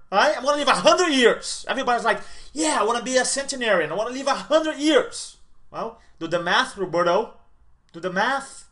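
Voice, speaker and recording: A man in his forties giving an upbeat motivational talk straight to camera as a speaker and coach. He piles up repeated words for emphasis and swings from loud bursts to quiet lines. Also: very high voice. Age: 40s.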